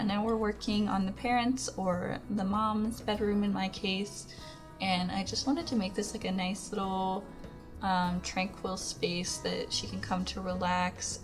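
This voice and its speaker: Hoarse young woman chatting over music. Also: medium pitch. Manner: chatty, rambling, lots of "like" and "um". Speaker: Sims speed-build YouTuber. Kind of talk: casual voice-over commentary. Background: background music.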